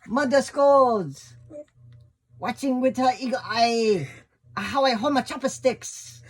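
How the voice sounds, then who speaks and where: A man's voice: extremely high pitch, low-quality recording. A man in his thirties reading a children's picture book aloud to his toddler in a small bedroom, recorded close on a phone. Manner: put-on accent in quoted lines, slow and laughing. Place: small bedroom at home, indoors. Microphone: phone camera held close.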